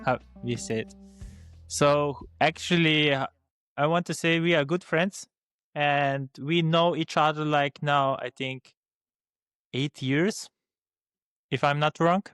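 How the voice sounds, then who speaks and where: A man's voice: a little high, slow, pauses often, fairly bright tone, smooth, no echo, clean studio sound, faint music behind. A young man from Slovenia with a Slovene accent, co-hosting a casual podcast chat and speaking hesitantly with many restarts and fillers. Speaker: podcast co-host.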